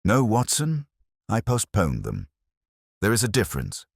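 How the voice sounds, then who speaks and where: A man reading the part of an aging, detached, brooding gentleman detective in a Victorian audiobook, speaking in grave, cryptic pronouncements. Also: on the low side, wildly swooping pitch, unhurried, creaky, husky, no echo, clean studio sound, voice pushed up, exaggerated voice. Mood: reflective, grave.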